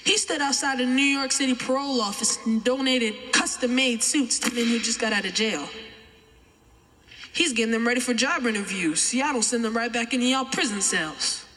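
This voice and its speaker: A twelve-year-old American boy performing spoken-word poetry with emphatic, deliberate oratory. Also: very high-pitched, bright, forceful tone. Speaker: young poet reciting. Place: large chapel, live audience event. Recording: echoey large chapel, long reverb.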